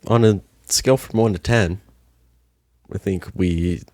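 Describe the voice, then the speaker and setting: A man's voice: sits low, measured pace, darkish tone, no echo. A young man co-hosting a casual podcast, laid-back and swearing freely, at times reading a post aloud. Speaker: podcast co-host.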